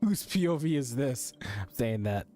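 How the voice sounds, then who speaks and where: A man's voice: medium pitch, animated, measured pace, mellow, faint music behind. A man streaming a video game, giving casual live commentary and musing aloud with offhand reactions. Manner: offhand reactions, muses aloud, mild swearing.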